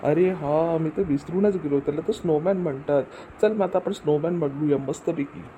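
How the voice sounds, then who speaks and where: A man's voice: fairly high pitch, moderate pace, mellow, lightly acted, poor audio. A man playing a cheerful, devoted father in a cartoon family comedy, speaking in an affectionate, earnest way. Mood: playful. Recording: clear background noise, ambience.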